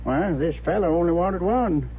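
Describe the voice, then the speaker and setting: Folksy frontier storekeeper, tinny recording. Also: male voice, high voice, expressive, normal speed. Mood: chatty, amused. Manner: folksy, talkative, rustic phrasing. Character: chatty, obliging frontier general store keeper, man. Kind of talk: 1950s radio western acting. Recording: tinny old radio recording, faint hum.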